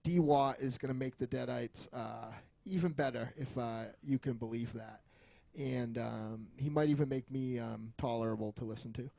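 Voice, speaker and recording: Man, gravelly creak, mid-range pitch, casual rambling podcast chat, jokey and foul-mouthed, close dry sound. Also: steady tone, normal speed, mellow, no room sound. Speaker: podcast co-host.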